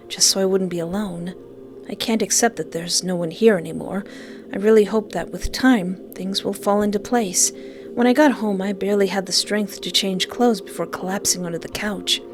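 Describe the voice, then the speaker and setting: A woman's voice: medium pitch, slight background noise. A woman reading a visual novel aloud dramatically, breaking off with playful, joking reactions. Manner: expressive, joking asides in exclamations. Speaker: video game player reading the story aloud.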